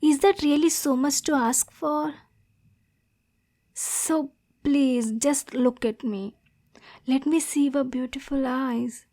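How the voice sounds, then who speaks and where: Woman as lovesick demon girl, high-pitched, unhurried, anxious, stammering, coaxing, ASMR roleplay. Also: dark tone. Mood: pleading, tender. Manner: stammering, pleading, coaxing endearments.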